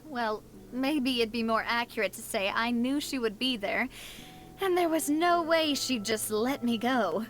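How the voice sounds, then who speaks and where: A woman's voice: on the high side, measured pace, lightly acted. A woman voicing a confident, cheerful young noblewoman leader in an anime-style video game, with a breezy, self-assured, theatrical delivery. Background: game music.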